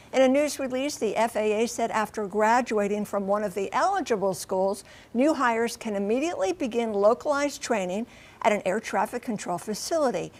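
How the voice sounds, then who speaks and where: Woman, American accent, high-pitched voice, TV news correspondent, conversational live report, emphatic, clipped explanatory phrasing. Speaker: TV transportation correspondent and pilot.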